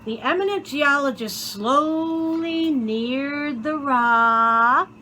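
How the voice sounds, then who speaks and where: Slow, bright-voiced woman reading a story. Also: high-pitched, slight background noise. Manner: theatrical, deliberate, dramatic pauses. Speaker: storyteller reading a children's book aloud. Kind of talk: expressive scripted story narration.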